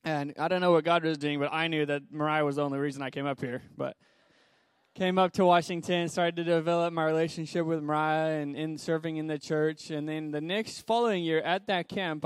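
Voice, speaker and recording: Bright-toned young man, clear and dry. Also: on the high side, no room sound. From Zimbabwe. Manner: upbeat, brisk, preacherly. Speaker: missionary guest speaker. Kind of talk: personal testimony in a church service. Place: church.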